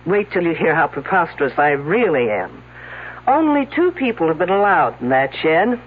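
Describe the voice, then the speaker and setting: A woman's voice: low voice, sing-song, moderate pace, slightly rough, heavily acted. An actress playing a confident, wisecracking female detective in a radio mystery, needling the suspects in a sardonic way. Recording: tinny old radio broadcast.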